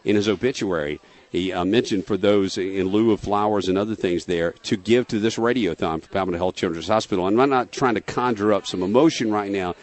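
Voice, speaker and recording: A man's voice: on the low side, brisk. A man hosting a live radio broadcast in casual talk. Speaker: radio host.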